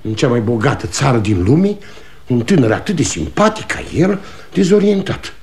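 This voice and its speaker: Casting: stubborn, blustering old salesman father, rambling, highly animated pitch, 1960 radio-play delivery. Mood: musing, hopeful. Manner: agitated, blustering, rambling with repeats and interjections. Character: aging salesman father, proud, stubborn, self-contradicting, muttering. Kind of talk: 1960 Romanian radio theatre acting.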